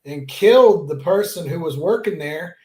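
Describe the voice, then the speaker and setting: A man co-hosting a podcast, making short reactions and telling stories slowly with hesitations. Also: fairly high pitch, animated, a little mellow. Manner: short dry reactions, slow hesitant storytelling. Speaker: podcast co-host. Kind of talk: casual podcast chat. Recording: roomy, echoey mic.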